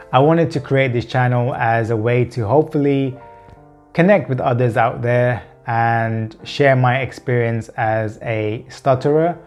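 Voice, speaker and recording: A man's voice: medium pitch, a little mellow. A man in his thirties talking to camera in a personal vlog, earnest and open, stammering with repeated words and blocks left unedited. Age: 30s. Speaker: vlogger.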